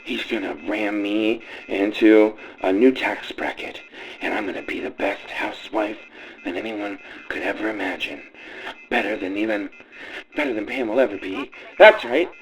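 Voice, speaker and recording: A man's voice: lowish voice, moderate pace, lightly acted, low-quality recording. A man voicing a fawning, scheming young housewife in a campy comedy radio play, gushing and stretching out words theatrically. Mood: scheming, smug.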